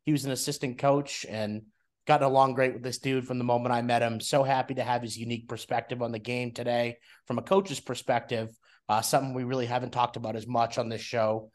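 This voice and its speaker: A man's voice: medium pitch, little pitch movement, a little fast. Young male podcast host, casual and rambling, easygoing interviewer. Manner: friendly, rambling, many fillers and restarts.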